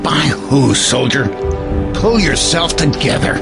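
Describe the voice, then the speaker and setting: An actor playing a gruff, exasperated army colonel in an audio drama, gravelly and barking with sarcastic authority. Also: male voice, on the high side, expressive, slow, over loud music, full character voice.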